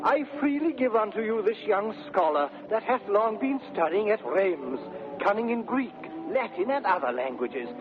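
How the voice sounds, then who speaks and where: Fussy elderly British suitor, high voice, deferential, thin old radio sound. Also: male voice, big pitch swings, moderate pace, phone-quality sound. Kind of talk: 1930s radio Shakespeare acting. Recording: thin vintage radio, music behind.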